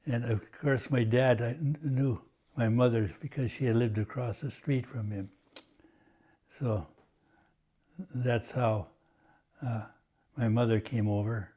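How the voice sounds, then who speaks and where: Slow, halting older man reminiscing. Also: mid-range voice, steady tone, warm tone. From Washington State, USA. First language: Croatian. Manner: slow and halting, many uh pauses. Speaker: oral history interviewee. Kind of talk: reminiscing, oral history.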